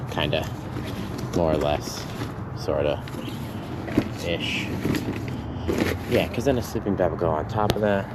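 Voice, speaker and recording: A man's voice: deep voice, very noisy. A young man with a sore throat, losing his voice, talking casually to his own camera in a travel vlog, thinking aloud with lots of fillers. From Texas. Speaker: vlogger. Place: pickup truck cab while driving. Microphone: phone or action camera, self-filmed. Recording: loud music over the voice. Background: music bed.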